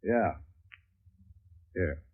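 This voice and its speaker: An actor playing a cynical, wisecracking private investigator in deadpan, sardonic 1940s radio noir style. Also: male voice, extremely slow. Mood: defiant. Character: cynical, wisecracking hard-boiled male private investigator narrating his case. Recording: muffled old 1940s radio recording, music.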